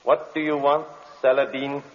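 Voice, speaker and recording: An actor playing the defiant, tormented soul of a sleeping magician, drawn-out and resisting, in old radio drama style. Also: male voice, mid-range voice, flat delivery, lo-fi sound, natural voice. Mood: reluctant, entranced.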